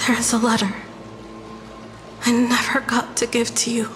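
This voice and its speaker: An actress voicing a gentle, fading young woman poet in a fantasy game, breathy, weak and halting. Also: on the high side, completely flat delivery, lightly acted. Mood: tender, fading. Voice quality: breathy, whispery. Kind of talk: dramatic scripted game voice acting. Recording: music and rain behind.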